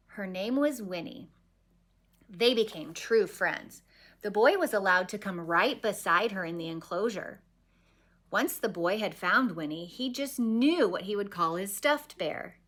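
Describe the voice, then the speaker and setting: A woman reading a children's picture book aloud expressively, with dramatic pauses. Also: medium pitch, fairly bright tone. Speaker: story reader.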